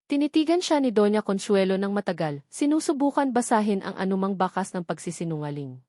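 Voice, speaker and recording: A woman reads a scripted romance drama as its narrator. Her pitch is middling for a woman. The recording is dry, with hardly any room sound. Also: animated, fairly quick, mellow, dry recording. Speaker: story narrator.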